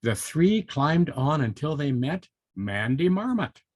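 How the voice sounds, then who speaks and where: An older man reading a story aloud to young children, playful and chatty, with expressive asides and questions to his listeners. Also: on the high side, slow.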